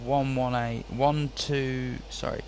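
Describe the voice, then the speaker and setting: A man hosting a flight-simulator tutorial, explaining step by step in a relaxed way with occasional slips. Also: mid-range voice, slow, slight background noise. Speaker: host of flight-sim tutorial. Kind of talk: casual tutorial walkthrough.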